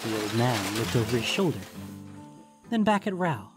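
A man's voice: medium pitch, big pitch swings, moderate pace, over loud music. A man reading scripted fantasy story narration as the narrator.

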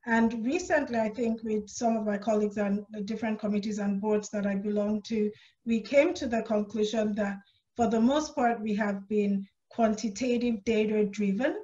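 A woman's voice: mid-range voice, steady tone, normal speed, dark tone. A woman with a Nigerian British accent, speaking earnestly and with emphasis as a panelist on a video call from a small room. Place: small room at home, indoors. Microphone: video call, laptop camera. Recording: dull lo-fi video call audio.

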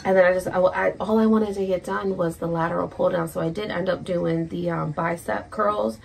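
A woman's voice: on the low side, fairly even intonation, moderate pace, vocal fry, poor audio. A woman in her thirties chatting casually in a rambling, sweary personal vlog recorded in a room at home. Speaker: vlogger. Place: small indoor room at home.

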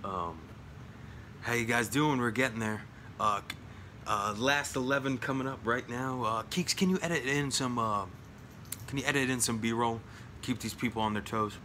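A man in his thirties chatting casually at home about his film collection, rambling with lots of fillers and jokes, his voice going hoarse. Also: mid-range voice, normal speed, bright, forceful tone, slight background noise. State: losing his voice. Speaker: collection vlogger. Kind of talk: casual home video chat. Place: small room at home, indoors. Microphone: phone or webcam at arm's length.

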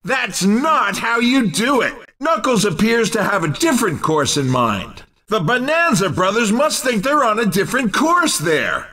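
A man voicing an excitable, cheeky race commentator in a video game, exclamatory and teasing. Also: sits high, unhurried, few pauses, distant mic, heavily acted. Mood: excited, teasing.

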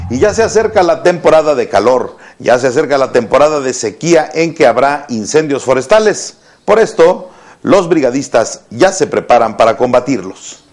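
A man's voice: medium pitch, lively intonation, normal speed, a little mellow. A middle-aged man with a Mexican accent presenting scripted news as a studio anchor.

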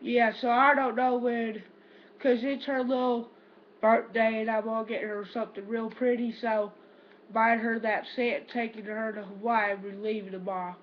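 A teenage male prank caller talking casually in a halting, repetitive, confused manner. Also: very high voice, level tone. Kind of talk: prank phone call, casual improvised talk. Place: small room at home, indoors. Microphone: webcam or laptop microphone. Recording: dull, noisy webcam microphone.

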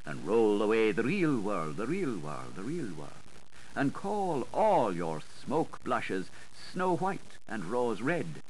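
Male audiobook narrator, dramatic literary reading, playful sing-song delivery, highly varied intonation, faintly noisy 1980s recording. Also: wildly swooping pitch, slow pace.

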